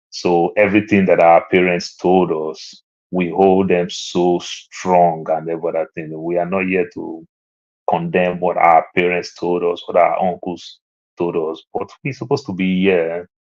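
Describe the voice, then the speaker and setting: A man from Lagos with a Nigerian accent, speaking as a panelist in an online discussion and telling stories from his childhood, his voice rising when he drives a point home. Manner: storytelling, climbs higher and louder when making a point. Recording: dull lo-fi online audio.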